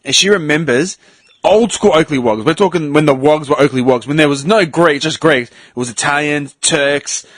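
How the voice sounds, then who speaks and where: A man's voice: fairly high pitch, moderate pace, a bit bright, no echo. A man with an Australian accent chatting on a podcast, with crude joking asides. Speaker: podcast co-host. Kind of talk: casual podcast banter.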